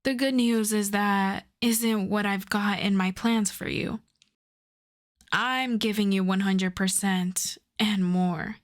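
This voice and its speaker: A woman playing a suave, self-assured female supervillain in a roleplay audio drama, flirting and teasing in an unhurried way. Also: mid-range voice, fairly even intonation, close to an everyday voice. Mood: earnest, flirtatious. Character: suave flirtatious female supervillain courting her costume maker.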